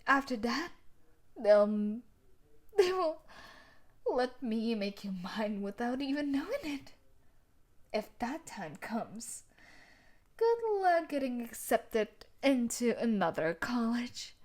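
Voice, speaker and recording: Woman acting a slow, taunting yandere bully girl. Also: fairly high pitch, sing-song, full character voice. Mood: smug threatening. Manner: taunting, menacing, deliberate, slipping into breathy whispers. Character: sadistic, possessive young woman student bully, obsessively in love. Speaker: voice actor in audio roleplay. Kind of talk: intimate scripted audio roleplay.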